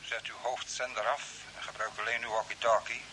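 An actor as a sharp-voiced mysterious stranded Earthman. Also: mid-range voice, level tone, a little fast, lightly acted, rough recording. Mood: calm, knowing. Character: mysterious man from Earth stranded on Mars, calmly insistent. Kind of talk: radio play acting. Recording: hissy old radio broadcast, faint noise.

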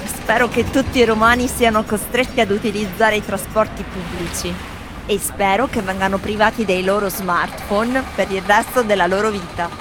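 An actress playing foreign tourists who address a city in a theatrical radio recitation. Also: female voice, mid-range voice, steady tone, normal speed, noisy background, natural voice. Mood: solemn, measured. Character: foreign tourists addressing blessings and curses to Rome. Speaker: performer in a radio theatre piece. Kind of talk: theatrical recitation of collected testimonies. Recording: voice over a music bed.

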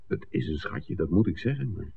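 A man's voice: low-pitched, expressive, fairly quick, creaky, exaggerated voice. An actor playing a worldly, principled man with a wry, bantering way, teasing his friend in a 1960s Dutch radio drama. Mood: wry, firm. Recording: muffled, noisy old radio drama recording.

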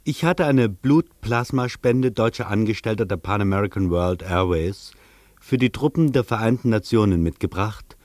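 A man plays a reflective traveller telling his own story in a literary radio drama. His voice sits fairly low and is recorded dry and close. The delivery is sober and measured. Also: no room sound, close to an everyday voice. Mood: matter-of-fact. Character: reflective male traveller recounting his stay in postwar Tokyo. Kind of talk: scripted literary radio play narration.

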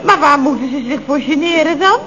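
A woman's voice: sits high, fairly quick, music in the background, natural voice. An actress in a radio comedy playing a curious female colleague who prods with exclamations and questions. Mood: prodding. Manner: inquisitive, exclamatory. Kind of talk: 1970s radio comedy acting. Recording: muffled old radio recording.

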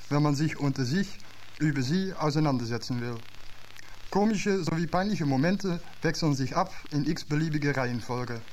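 A man whose first language is not German, reading a scripted literary radio essay in a measured way, from an old radio archive recording. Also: on the high side, slight background noise, poor audio. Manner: measured, literary delivery with slightly non-native phrasing.

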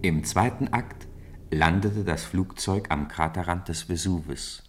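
A man's voice: sits low, measured pace, a little hiss or hum. A man narrating a children's radio play in scripted storybook style.

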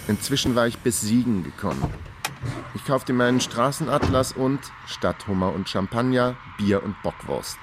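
A male actor plays a wry, luckless investigator in a radio crime drama. He delivers his lines in a laconic, deadpan manner at a middling pitch, with music clearly behind him. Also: natural voice. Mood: weary, wry. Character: wry, hapless male investigator narrating his own case. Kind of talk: German radio crime drama, first-person narration.

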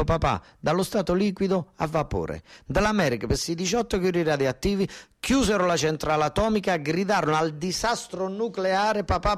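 A man with a southern Italian accent delivering a theatrical narrative monologue, insistent and repeating words for emphasis. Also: sits high. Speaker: stage actor and storyteller.

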